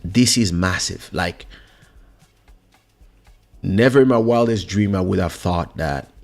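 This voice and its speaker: A man with a very low voice hosts a casual livestream, talking slowly and thinking aloud. He pauses often and mutters along, close to the microphone, with some music playing behind him. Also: vocal fry. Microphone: close podcast microphone.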